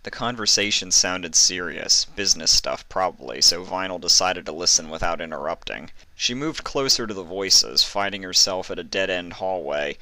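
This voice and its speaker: A man narrates fan fiction from a script. His voice is deep yet very bright and forceful, recorded dry and close. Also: normal speed, few pauses, no echo, poor audio.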